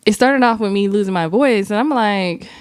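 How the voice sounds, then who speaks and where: Smooth-voiced woman, chatty and profane. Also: medium pitch, normal speed, no echo, clean studio sound. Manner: animated, laughing, profane storytelling. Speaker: podcast host. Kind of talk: casual chat.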